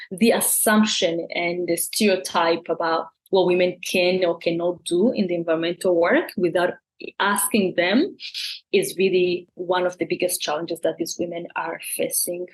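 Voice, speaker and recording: Woman from Rwanda, young adult, slightly low pitch, thoughtful, pauses and restarts. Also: poor audio. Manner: thoughtful, with frequent fillers and restarts. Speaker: program consultant. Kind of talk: speaking to camera in an interview.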